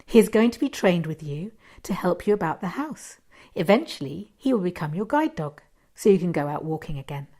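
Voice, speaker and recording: Woman reading as a gentle, encouraging husband. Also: on the low side, very animated, a little fast.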